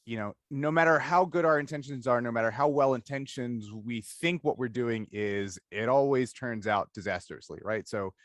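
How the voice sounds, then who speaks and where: A man's voice: medium pitch, normal speed, few pauses, no room sound. A man hosting a casual discussion interview, thinking aloud analytically in long stretches and often checking in with "right?". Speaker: interviewer, podcast host.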